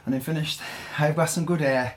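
A man's voice: fairly high pitch, steady tone, a little fast. Breathless, laughing man mid-workout. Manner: rambling, chatty, laughs often between sets. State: out of breath and sweaty. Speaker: online audio creator. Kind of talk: casual chat while working out.

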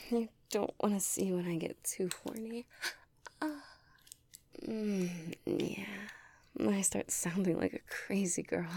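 A woman's voice: mid-range voice, a little mellow, a little hiss or hum. A young woman speaking in an intimate audio roleplay, whispering breathily and sighing between words.

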